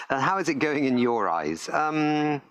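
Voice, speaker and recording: A man's voice: on the high side, sing-song, slow, fairly bright tone. A man hosting a live panel in an informal, hesitant, unscripted way. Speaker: co-host, panel moderator.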